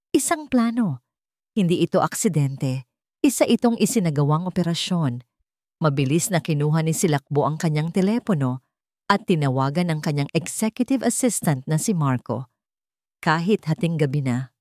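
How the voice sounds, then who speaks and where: A woman's voice: low voice, darkish tone, no echo, clean studio sound. A woman with a Filipino accent reading a scripted romance drama as a storyteller, with dramatic delivery. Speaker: audio story narrator.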